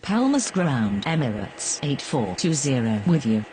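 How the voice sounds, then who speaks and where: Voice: medium pitch, sing-song, measured pace. An actor playing a businesslike air traffic radio voice in a game, speaking in scripted phraseology. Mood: businesslike, procedural.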